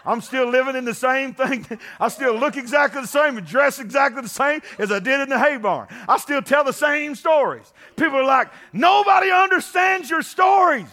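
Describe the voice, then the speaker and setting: A pastor preaching a sermon, building to impassioned shouting and calling out to the congregation. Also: male voice, very high-pitched, normal speed, few pauses.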